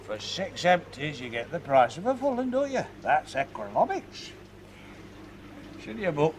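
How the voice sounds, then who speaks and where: An actor playing a drunk, lonely Newcastle football fan with a Geordie accent, rambling and indignant in a radio drama. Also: male voice, on the high side, big pitch swings, a little slow, a little hiss or hum.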